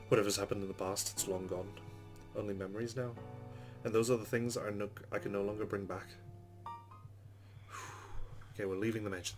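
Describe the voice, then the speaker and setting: Male let's play host, lowish pitch, narrating visual novel text with casual asides over game music. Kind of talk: casual game commentary and reading story text aloud.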